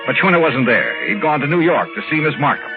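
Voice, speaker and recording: Tough skeptical American investigator, clipped, wry, animated pitch, muffled old radio drama. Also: male voice, fast. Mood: brisk, wry. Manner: clipped, sardonic, hard-boiled. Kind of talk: 1950s radio drama acting and first-person narration. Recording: dull vintage radio recording, music behind.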